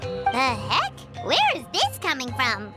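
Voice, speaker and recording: Tiny fairy sidekick for a game: extremely high, squeaky, very animated, pert. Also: female voice, slow, exaggerated voice. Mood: skeptical. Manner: exclamatory, refers to self in third person. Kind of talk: video game dialogue acting. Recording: loud background music.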